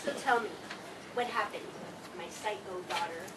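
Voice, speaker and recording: A woman in a staged play reading, playing a lively, teasing mother of three in her forties. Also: medium pitch, normal speed, natural voice. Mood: wry. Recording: distant, noisy live stage recording.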